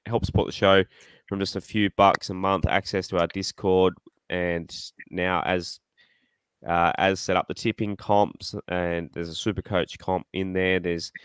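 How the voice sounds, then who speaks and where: Australian man, podcast host, low voice, dry close sound, rambling chat, a bit croaky. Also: no echo. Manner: rambling, excitable, self-deprecating. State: slightly croaky voice. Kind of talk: casual fan podcast chat.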